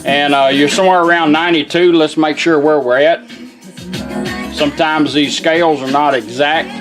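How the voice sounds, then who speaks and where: Man, slightly high voice, folksy product demonstrator, step-by-step how-to, music in background. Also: steady tone, moderate pace. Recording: music from a radio playing behind.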